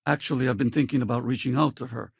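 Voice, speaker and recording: Casting: weathered space pilot for a game companion role; very gravelly, wry and colloquial, recorded dry. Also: male voice, medium pitch, fairly even intonation, quick pace, no echo. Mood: regretful. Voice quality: gravelly, husky. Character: weathered spacefaring Vanguard pilot, wry, loyal, regretful father. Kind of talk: video game companion dialogue.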